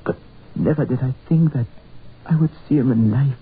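An actor playing an injured, agitated man in a 1940s radio horror drama, speaking in formal, halting, dramatic phrases. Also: mid-range voice, husky. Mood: awed, grave. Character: injured foreign man, agitated, awestruck and insistent. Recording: noisy, tinny 1940s radio recording.

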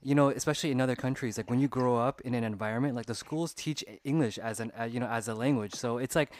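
A man hosting a casual podcast and chatting with a guest, often adding quick agreeing "right, right.". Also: medium pitch, no room sound. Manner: quick, frequent "right, right" backchannels. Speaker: podcast host.

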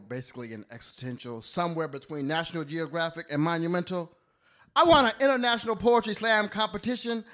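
A man's voice: fairly high pitch, a bit bright. A man performing a slam poem live, with a playful, rhyming, list-driven delivery. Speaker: slam poet. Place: live poetry slam venue.

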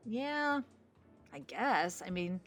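A woman's voice: medium pitch, big pitch swings, slow, a bit bright, soft background music. An actress playing a pushy, nervous young woman waiting for a blind date in an audio drama comedy, needling and rambling with suspicion. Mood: defensive, rambling. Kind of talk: audio drama acting, romantic comedy dialogue.

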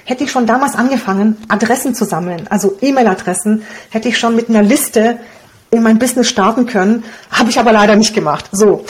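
Lively, cheeky woman, native Hungarian speaker. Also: on the high side, a little fast. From Romania. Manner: lively, cheeky, laughs often. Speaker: blogger and copywriter, guest. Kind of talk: casual interview talk.

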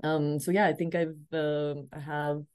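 A film producer from Pakistan speaks in a low, mellow voice, pausing often and restarting her phrases as she answers. The recording is close and dry. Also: flat delivery, clear, resonant tone, no echo. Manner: halting, frequent "um" and repeated starts. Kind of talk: interview answers.

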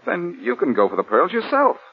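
An actor playing a wry, quick-witted, confident rogue detective in an American radio crime drama of the 1940s. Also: male voice, fairly high pitch, huge pitch swings, breathy edge, faint music behind, exaggerated voice. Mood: scheming. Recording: muffled old radio recording.

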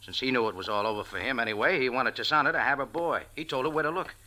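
A man's voice: mid-range voice, fast, pushed and bright. An actor playing a wry, laconic, hard-boiled cafe owner and sleuth in a 1940s radio drama, telling his story in colloquial style. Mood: matter-of-fact. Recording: old radio recording with music.

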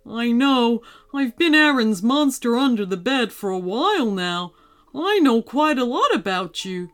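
A woman's voice: fairly high pitch, sing-song, a little slow. A woman voicing a nervous, apologetic male furry monster in a children's story, with a hesitant, sheepish manner. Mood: nervous, earnest.

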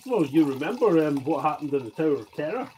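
A man's voice: on the high side, sing-song, quick pace, mellow, slight background noise, rough recording. A man co-hosting a podcast, chatting casually, laughing often and swearing freely. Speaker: podcast co-host. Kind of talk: casual chat.

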